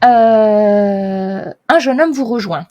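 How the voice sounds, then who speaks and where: A woman acting as game master of a tabletop role-playing game. She narrates casually and loosely, often restarting her sentences and filling pauses. Her pitch sits in the middle range. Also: a little mellow, lo-fi sound.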